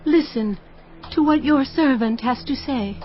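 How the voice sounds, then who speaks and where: An actress playing a wise, bold townswoman of ancient Israel in a dramatized audio Bible, deliberate and declamatory. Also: high voice. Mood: earnest appeal. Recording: dull band-limited audio with music bed.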